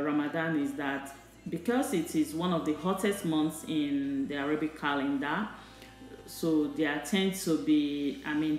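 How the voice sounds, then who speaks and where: A woman's voice: deep voice, darkish tone. A woman from Nigeria giving earnest, repetitive advice in a voice-over monologue. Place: voice-over over store footage. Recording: roomy sound, faint music.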